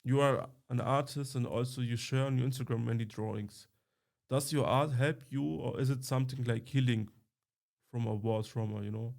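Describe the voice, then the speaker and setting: Man, podcast host, relaxed dark tone, little pitch movement, halting simple phrasing. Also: gravelly. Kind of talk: casual interview.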